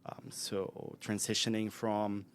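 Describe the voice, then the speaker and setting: A man's voice: lowish voice, completely flat delivery, takes it slowly, slight background noise. A man with an Austrian accent speaks as a guest expert in a conversational interview, thinking aloud with frequent fillers and self-corrections. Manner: thoughtful, with many fillers and restarts.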